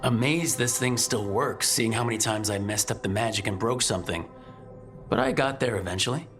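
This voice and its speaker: An actor playing an earnest young human mage hero in a video game, reflective and determined. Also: male voice, on the low side, a little fast, fairly bright tone, faint music behind, lightly acted. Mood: musing.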